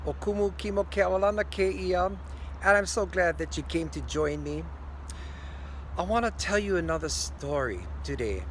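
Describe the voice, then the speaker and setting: An older man tells a story in a slightly high voice, earnest and unhurried. He pauses often in the middle of a phrase. He speaks outdoors, with a faint hum of city traffic behind him. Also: a bit bright. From Hawaii. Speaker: storyteller, teacher. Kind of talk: spoken storytelling to camera. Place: outdoors on a hillside above a city.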